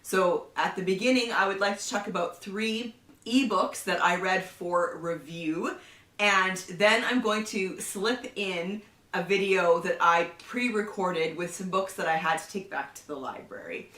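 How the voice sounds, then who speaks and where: A woman with a cold hosting a casual book review, chatty, often laughing and clearing her throat. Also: medium pitch, unhurried, fairly bright tone. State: has a cold. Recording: roomy echo, somewhat far from the mic.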